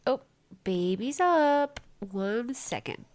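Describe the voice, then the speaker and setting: A woman's voice: a little high, lively intonation, slow, a little mellow. Woman, young adult, stuffy congested voice, chatty and rambling, diary podcast, small home room. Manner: rambling, chatty, self-interrupting. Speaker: podcast host. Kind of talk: casual diary-style podcast chat.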